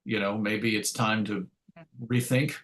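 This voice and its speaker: A man's voice: on the low side, fairly even intonation, a little slow, fairly bright tone, poor audio. Middle aged guy being interviewed, kinda wry and self-deprecating, just talking about back in the day. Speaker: interview guest. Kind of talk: conversational interview answers, reminiscing.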